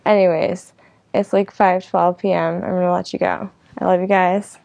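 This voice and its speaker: Low, creaky-voiced woman, casual and rambling. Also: little pitch movement, normal speed, a little mellow, no echo, dull audio. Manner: informal, meandering, filler-heavy with frequent "like" and "you know". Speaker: host of her own online show. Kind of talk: casual rambling vlog reflection.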